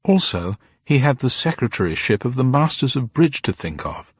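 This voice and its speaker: A man's voice: mid-range voice, very animated, moderate pace, slightly rough, dry recording, clean studio sound, voice pushed up. A man voicing a little old upper-class woman, genteel, formal, grieving and proud, in an audiobook story. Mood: stiff, indignant. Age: older. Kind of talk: dramatised audiobook character voice.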